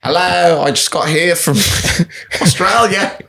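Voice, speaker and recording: A man hosting a podcast in casual chat, animated and jokey, swearing casually. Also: sits high, slow, creaky. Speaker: podcast host.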